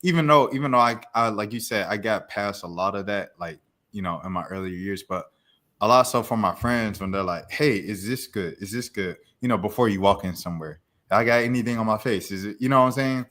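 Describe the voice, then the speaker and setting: A young man with a fairly low voice, chatting on a podcast. He rambles in a loose, slangy way, circling back with restarts and lots of filler words. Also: fairly quick, fairly bright tone. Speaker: podcast co-host. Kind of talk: casual chat.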